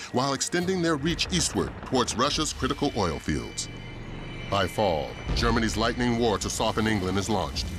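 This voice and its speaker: A man with a fairly low voice narrates in a grave, portentous documentary style. The delivery is scripted and dramatic. Music plays clearly behind him.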